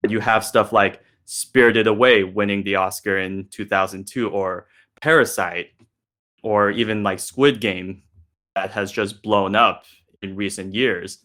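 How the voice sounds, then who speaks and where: A man's voice: on the low side, fairly even intonation, measured pace, pushed and bright. A man hosting a casual panel discussion, speaking conversationally as moderator. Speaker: host, moderator.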